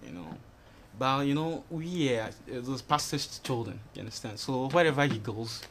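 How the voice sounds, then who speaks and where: A man's voice: mid-range voice, expressive, normal speed. Man from Ghana, twenties, TV studio guest, rambling answers, many fillers, faint background noise. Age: 20s. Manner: rambling, fills with "you know" and "you understand". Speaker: interview guest. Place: TV studio set.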